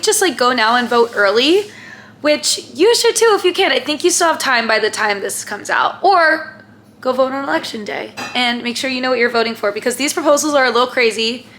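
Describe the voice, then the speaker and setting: A woman's voice: high-pitched, a bit bright, a little hiss or hum. A woman in her twenties chatting casually to the camera as a lifestyle vlogger, upbeat and friendly, with lots of "like" and "um". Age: 20s. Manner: chatty, filler-heavy with frequent "like" and "um". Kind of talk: casual vlog chat to camera.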